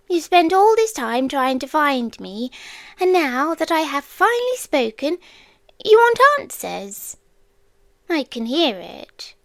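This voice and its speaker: A British woman playing an ancient, possessive female creature in an intimate ASMR roleplay, speaking softly and teasingly with deliberate pauses and drops into whispers. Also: extremely high pitch, vocal fry, no echo, clean studio sound, heavily acted. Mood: possessive, soothing. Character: ancient female stalker creature, possessive, watchful, tenderly menacing. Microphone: close microphone, dry.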